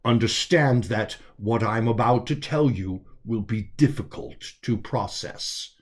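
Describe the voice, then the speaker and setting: A man voicing a calm, commanding male officer in an audiobook, measured and reassuring as he speaks over the comms. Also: lowish voice, lightly acted. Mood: firm, commanding. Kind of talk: dramatic audiobook character voice.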